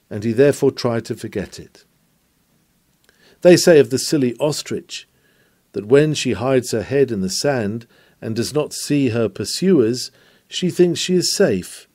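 A man reads a nineteenth-century sermon aloud with the fervor of a pulpit preacher. His voice is mellow and darkly toned, sitting at an ordinary pitch. He drives hard at the exclamations and rhetorical questions. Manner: declamatory, rising emphasis on exclamations. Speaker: reader of a sermon. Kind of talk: dramatic sermon reading.

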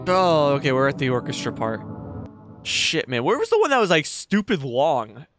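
A man's voice: fairly high pitch, huge pitch swings, moderate pace, fairly bright tone, faint music behind. A young man giving casual, sweary, slangy reactions to a horror game, jumpy and exclaiming, on a close desk mic. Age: young adult. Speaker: gaming video host. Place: small indoor gaming room. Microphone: close desk microphone, headset on.

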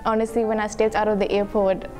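A woman's voice: medium pitch, little pitch movement, fast talker, mellow, faint music behind. A teenage girl from Africa, chatting casually in a school interview and filling her talk with "like" and "you know". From South Africa. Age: teens. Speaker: exchange student interviewee. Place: school classroom, indoors.

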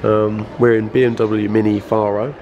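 A slightly hoarse young man, chatting upbeat as vlog host from a car, filmed at arm's length. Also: on the low side, flat delivery, normal speed, muffled recording. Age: young adult. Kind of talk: casual car vlog chat. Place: inside a car and a car dealership. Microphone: handheld camera at arm's length. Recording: noisy car and street sound. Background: engine and road noise.

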